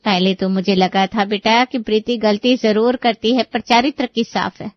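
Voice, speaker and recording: A woman's voice: mid-range voice, steady tone, moderate pace, no room sound, dull audio, pitched below the performer's own voice, lightly acted. A woman voicing a middle-aged, taunting mother-in-law who sounds sly and accusing in a Hindi moral-story drama. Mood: suspicious, accusing.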